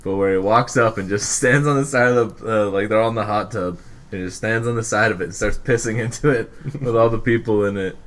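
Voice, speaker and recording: A man's voice: on the low side, fairly quick, a bit bright, slight background noise. A man chatting on a podcast, laughing in the middle of his sentences while he tells a story. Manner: laughs mid-sentence while telling stories. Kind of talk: casual chat.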